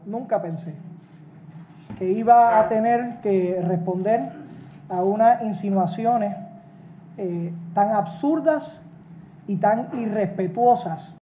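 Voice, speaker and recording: Official, male, formal press statement, dark tone, faint noise. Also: sits high, slow pace, pauses often, poor audio. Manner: formal, firm. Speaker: government official giving a statement.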